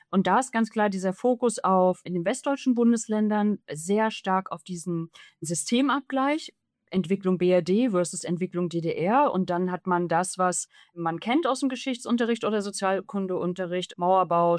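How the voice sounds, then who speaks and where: Author in her 30s, interview guest; animated, long run-on answers, dry close recording. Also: medium pitch, no echo.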